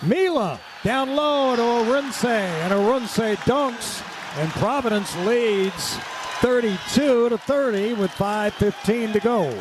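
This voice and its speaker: A man's voice: very high voice, animated, slow. An American man calling live radio basketball play-by-play in clipped, present-tense phrases. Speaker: play-by-play announcer. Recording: clear crowd and arena background noise.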